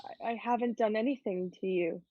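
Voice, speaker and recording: A woman playing a naive, ailing fourteen-year-old girl, earnest and halting, in a dramatic reading of an old play. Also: medium pitch, dry recording, highs cut off, natural voice. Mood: bewildered, pleading.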